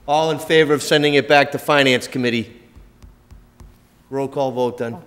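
A man's voice: medium pitch, fairly even intonation, moderate pace, a bit bright. A man at a city council meeting makes motions and runs votes. His phrasing is informal and procedural. His voice carries a long chamber reverb.

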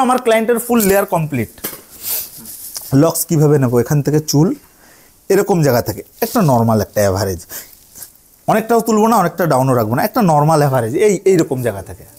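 A man teaches hairdressing in a high voice. His intonation moves widely up and down as he instructs trainees. He repeats himself often and keeps asking whether they have understood. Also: sing-song, fast talker, long pauses, a little mellow. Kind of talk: hands-on tutorial to trainees.

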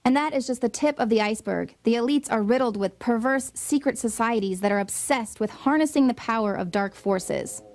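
Woman doing dramatic scripted voice-over, kinda high voice, insinuating tone. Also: brisk, hardly any pauses, a little mellow. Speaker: narrator. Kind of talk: scripted narration.

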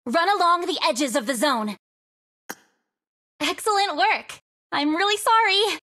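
An eager young female soldier from a video game. Her voice is very high and bright, with lively swings. She delivers short, emphatic squad commands at a measured pace. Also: exaggerated voice. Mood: urgent, commanding. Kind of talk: video game voice pack callouts.

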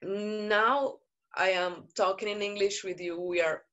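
Slow, earnest woman activist. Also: medium pitch, fairly even intonation, a bit bright, muffled recording. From Brazil. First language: Portuguese. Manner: earnest, measured, with frequent pauses and fillers. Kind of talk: panel talk. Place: indoor room. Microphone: video call.

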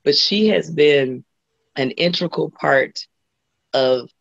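A middle-aged woman from Los Angeles with a husky voice, talking as a guest singer on a video call. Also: low voice, slow pace, no room sound. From Los Angeles, USA. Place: home room indoors. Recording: dull lo-fi video call audio.

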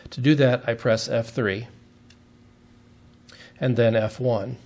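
A man's voice: mid-range voice, fairly even intonation, slow pace, darkish tone. A man giving a step-by-step technical demonstration in a methodical, plain-spoken way, as an instructor would.

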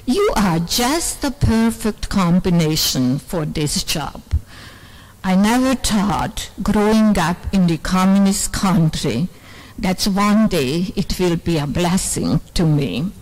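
A woman's voice: lowish voice, animated, takes it slowly, a little mellow. An older woman from Serbia gives an earnest speech to a women's conference in a large hall. She speaks into a microphone on a stand at a lectern and tells her story in non-native English.

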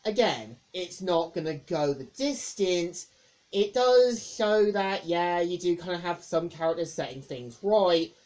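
A woman reviewing a film in a casual monologue, speaking haltingly and often repeating words. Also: fairly low pitch.

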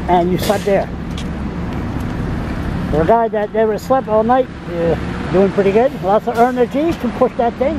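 Street interviewer, male, high pitch, brusque, outdoor traffic noise. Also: lively intonation. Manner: gruff, blunt, quick questions. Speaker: street interviewer filming on a phone. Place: city sidewalk outdoors in winter.

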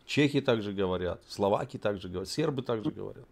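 A man in his forties with a lowish voice asks pointed questions in a calm, mocking way. He sits in a room at home and speaks into a webcam. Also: fast, gravelly. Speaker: video chat host. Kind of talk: quizzing strangers on video chat. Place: room, seated in an armchair.

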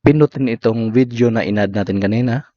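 A man's voice: mid-range voice, a little fast, warm tone, no room sound. A man with a Filipino accent gives step-by-step app tutorial narration in short, instructional phrases. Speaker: tutorial host. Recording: slightly dull lo-fi voice recording.

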